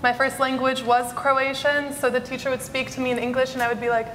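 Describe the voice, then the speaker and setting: A woman's voice: high voice, flat delivery, brisk, noisy background. A teenage girl, a panelist on a conference stage, introducing herself in a chatty, upbeat way. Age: teens. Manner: chatty, upbeat, laughs often. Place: conference stage in a large hall. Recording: echoey hall recording.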